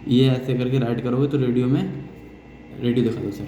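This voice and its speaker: A man's voice: medium pitch, fairly even intonation, a little fast, warm tone. Indian man teaching in an echoey room. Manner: step-by-step explaining, repeats key phrases. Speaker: technical instructor. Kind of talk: hands-on spoken software tutorial. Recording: echoey reverberant room, noisy, distant sound.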